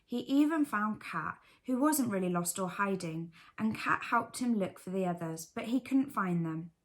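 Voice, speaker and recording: Female storyteller, medium pitch, scripted narration, children's bedtime story. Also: expressive, normal speed.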